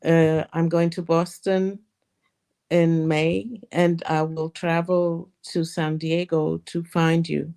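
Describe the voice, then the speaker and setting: A woman from Cuba, calling in to offer thanks in a halting way with many fillers. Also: low-pitched, flat delivery, vocal fry, mellow, smooth. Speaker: caller. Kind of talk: call-in thanks and chat.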